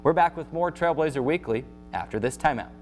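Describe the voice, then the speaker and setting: A man's voice: fairly high pitch, lively intonation, fairly quick, over music. American man hosting a sports roundup. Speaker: sports show host. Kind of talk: scripted sports news reading and studio interviewing. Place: studio.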